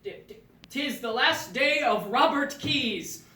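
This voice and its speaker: A man playing a terrified condemned prisoner who feigns calm, stammering through a theatrical, old-fashioned comic monologue on stage. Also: very high voice, full character voice. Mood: quavering false calm. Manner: stammering, halting, mock-archaic bluster. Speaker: stage actor. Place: indoor theatre stage, recorded from afar. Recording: roomy distant stage recording, some noise.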